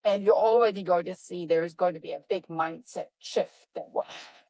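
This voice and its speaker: A woman with a European accent, a professor guest on a podcast, answering in an energetic, emphatic way. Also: moderate pace, dry recording, rough recording. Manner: energetic, upbeat, emphatic with lively pitch jumps. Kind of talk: enthusiastic interview answers.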